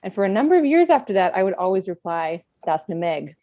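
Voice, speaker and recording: A woman in middle age, reading a prepared personal talk at a book event in a reflective, measured way with gentle self-deprecation. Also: mid-range voice, sing-song, noisy background. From United States.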